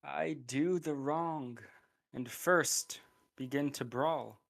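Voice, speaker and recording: A man's voice: on the high side, takes it slowly, vocal fry. A young male student actor playing a scheming, bitter royal brother in a Shakespearean radio play. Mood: sly, gloating. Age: young adult.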